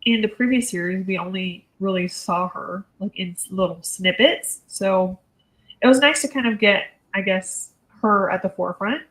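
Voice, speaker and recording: A woman discussing books casually, in a heartfelt, reflective way with halting phrasing. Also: mid-range voice, a bit bright, rough recording. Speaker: book discussion panelist. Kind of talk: casual book chat.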